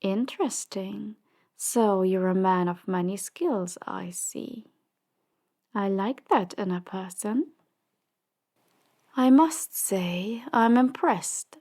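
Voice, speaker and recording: A woman's voice: mid-range voice, darkish tone. A woman playing a silky, commanding crime boss. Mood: pleased, coolly amused. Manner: measured, condescending, coolly polite. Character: commanding female crime boss, silky, menacing, power-hungry. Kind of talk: audio drama roleplay acting.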